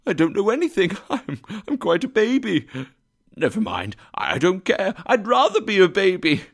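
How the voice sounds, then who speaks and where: A man's voice: mid-range voice, wildly swooping pitch, unhurried, heavily acted. A man voicing an overjoyed, reformed old miser in a storybook reading, exclamatory and full of glee. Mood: merry bewilderment. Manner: exclamatory, gleeful, effusive.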